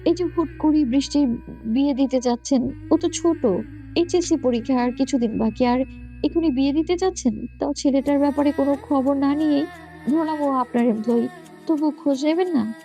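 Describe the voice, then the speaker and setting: Woman playing a high, mellow-voiced questioning young wife. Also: moderate pace. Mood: puzzled, protesting. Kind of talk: romantic audio story acting. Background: background music.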